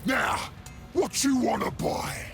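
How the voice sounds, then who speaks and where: A man voicing a sly, jovial ork salesman in a video game, with a raspy Cockney English voice and a wheedling manner. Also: very high-pitched, lively intonation, slow pace, over music, heavily acted. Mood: mocking, urgent. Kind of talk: video game character acting.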